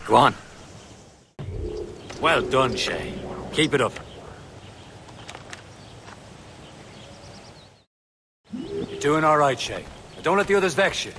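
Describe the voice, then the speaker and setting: An actor playing a steady, brotherly assassin, plain-spoken and encouraging, in a video game cutscene. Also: male voice, on the high side, a little slow, noisy background.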